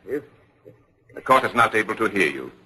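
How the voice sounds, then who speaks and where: A man's voice: on the low side, big pitch swings, unhurried, bright, forceful tone, breathy edge, heavily acted. An actor playing a stern, relentless prosecutor at a witch trial, questioning formally and insistently in old radio drama style. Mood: accusing, insistent. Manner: pressing, formal interrogation.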